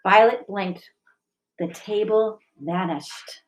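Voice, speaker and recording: Mid-pitched woman sharing a children's book. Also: slow, low-quality recording. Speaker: school staff member reading aloud. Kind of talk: children's storybook read-aloud and informal discussion.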